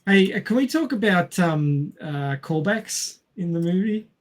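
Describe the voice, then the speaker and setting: A man's voice: sits high, expressive, unhurried. A man co-hosting a podcast, chatting casually about films. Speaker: podcast co-host. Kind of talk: casual movie discussion.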